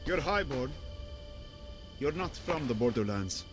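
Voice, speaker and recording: An actor playing a wounded shepherd in an audio game, guarded and terse, with a dry, wry delivery. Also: male voice, mid-range voice, animated, a little slow, music in the background, close to an everyday voice.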